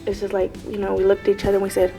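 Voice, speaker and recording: A woman actress from Mexico speaking English with a Mexican accent, warm and laughing often, in a halting, casual way. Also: mid-range voice, completely flat delivery, music in the background. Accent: Mexican Spanish. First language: Spanish. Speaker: lead actress. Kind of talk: festival interview, conversational.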